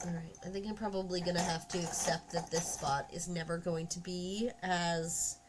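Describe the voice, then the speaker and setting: A woman's voice: lowish voice, level tone, moderate pace, background noise. A woman live-streaming her sculpting work, chatting casually to viewers in a congested voice from a cold, often trailing off with fillers. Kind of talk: casual live-stream chat while working.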